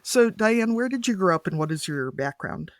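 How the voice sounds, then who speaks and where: A man with a slightly higher voice hosts a podcast and jokes easily with his guest. The recording is dry and close, with no room sound. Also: lively intonation, normal speed, no room sound. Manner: playful, joking. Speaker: podcast host. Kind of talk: casual interview conversation.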